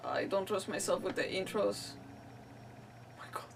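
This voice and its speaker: A woman's voice: mid-range voice, fairly even intonation, moderate pace. A woman streamer giving casual gameplay commentary in a rambling, self-deprecating way. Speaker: gaming streamer. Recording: slightly distant mic, faint music behind.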